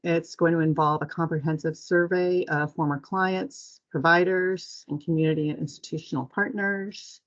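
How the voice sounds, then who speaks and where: A woman giving a measured, explanatory semi-formal presentation as agency staff on a muffled conference call. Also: deep voice, fairly even intonation.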